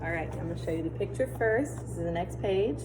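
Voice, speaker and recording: A woman teacher doing an expressive storybook read-aloud for children, pausing to explain and ask questions. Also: on the low side. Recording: clear background noise.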